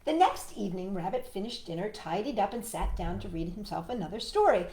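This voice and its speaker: A woman's voice: mid-range voice, expressive, brisk, darkish tone, reverberant, poor audio. A woman hosting storytime, reading a picture book expressively to young children and adding questioning asides. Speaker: storytime host.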